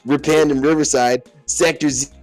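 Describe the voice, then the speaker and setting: Man putting on a shrill, giddy game character. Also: steady tone, moderate pace, darkish tone, faint music behind, lightly acted. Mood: giddy, over-excited. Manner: exaggerated, sing-song, increasingly shrill delivery. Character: over-eager, shrieky excitable video game character reading a message. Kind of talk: hammy amateur game dub.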